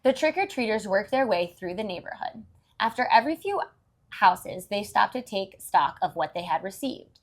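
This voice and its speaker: A woman's voice: mid-range voice, sing-song, normal speed. A woman reading a storybook aloud to young children, with encouraging, explanatory asides.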